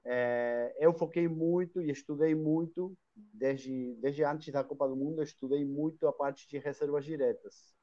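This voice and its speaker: A man's voice: fairly high pitch, fairly even intonation, a little slow, dark tone, lo-fi sound. Argentine man talking at length. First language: Spanish. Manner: talkative, with frequent restarts and tag questions. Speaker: guest, hostel chain owner. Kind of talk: live online interview.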